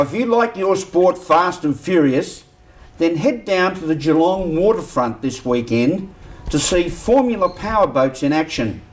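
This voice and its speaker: A man's voice: on the high side, recorded from a distance. A man with an Australian accent anchoring a news bulletin with chatty handovers.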